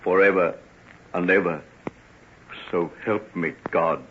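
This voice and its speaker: An actor playing a troubled, remorseful gentleman doctor, earnest and theatrical, in an old-time radio drama. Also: low-pitched, steady tone, slow. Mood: solemn, grateful. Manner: earnest, theatrical, formal phrasing. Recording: muffled, noisy old radio recording.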